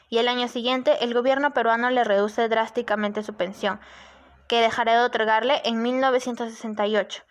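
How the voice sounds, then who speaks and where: A woman reading a narration in a steady, informative way. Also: fairly high pitch. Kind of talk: read narration.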